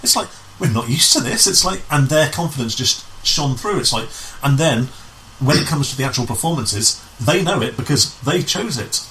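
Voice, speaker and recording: A man chatting casually as an interview guest, halting and repeating his words as he explains. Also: medium pitch, lively intonation, pushed and bright, slight background noise, rough recording. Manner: halting, repeats himself, often says "as in". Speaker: guest, amateur pantomime writer and director. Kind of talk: casual chat.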